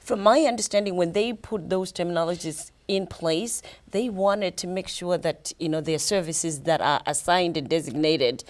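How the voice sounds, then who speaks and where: A woman with a Zimbabwean accent hosting a conversational interview, often pausing to rephrase as she goes. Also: on the low side, darkish tone. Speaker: podcast host and interviewer.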